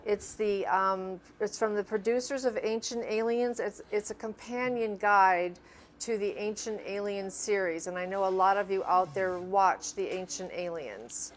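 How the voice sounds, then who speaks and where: An older woman speaks as a guest, presenting informally. Her voice is medium in pitch and her delivery is hesitant and self-correcting, with a faint noise behind her. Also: unhurried. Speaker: guest, store staff member.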